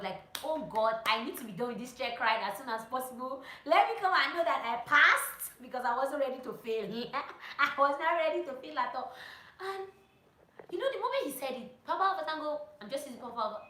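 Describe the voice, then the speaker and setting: A woman giving casual, animated instructional vlog talk, telling anecdotes and repeating phrases for emphasis. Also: sits high. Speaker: vlogger and pilot sharing training tips. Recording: roomy echo.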